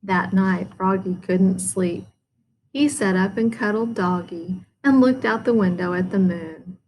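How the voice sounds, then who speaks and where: A woman's voice: mid-range voice, a little slow, darkish tone, a little hiss or hum, low-quality recording. A woman, a school principal, narrating a children's storybook aloud.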